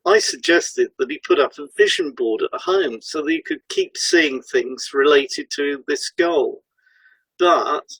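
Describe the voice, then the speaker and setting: Man, gravelly, rough-edged voice, podcast co-host, scripted case narration, dry sound. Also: on the high side, a bit bright, dry recording, low-quality recording.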